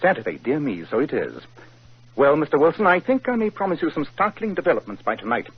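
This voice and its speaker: A cool, incisive detective from an old radio play, his clipped questions rising and dipping with lively pitch. The voice is slightly husky and comes through a muffled 1940s broadcast. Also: fast. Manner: crisp, clipped, probing questions.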